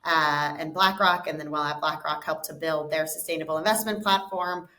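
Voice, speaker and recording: A woman with an American accent, a fund co-founder answering interview questions in a measured, explanatory way, often repeating words as she goes. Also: deep voice, fairly even intonation, sharp tone.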